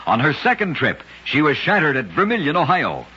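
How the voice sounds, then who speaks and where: A man narrating strange true facts in a scripted old-time radio style, with a brisk, dramatic storytelling cadence. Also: fairly high pitch, wildly swooping pitch, pushed and bright, breathy edge, dull audio.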